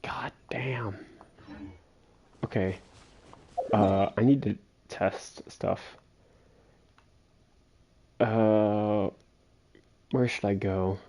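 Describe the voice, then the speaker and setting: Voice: medium pitch, fairly even intonation, very slow, pauses often, mellow. A game streamer giving casual livestream commentary, muttering and thinking aloud while playing.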